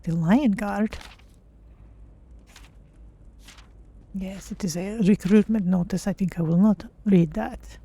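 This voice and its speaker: A mellow, soft-edged woman playing a feline adventurer for a game stream. She is polite and curious, choosing measured, formal words as she talks through her exploration. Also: mid-range voice, breathy edge. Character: polite, curious female Khajiit cat-folk adventurer. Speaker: streamer voicing own game character. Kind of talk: in-character game playthrough commentary.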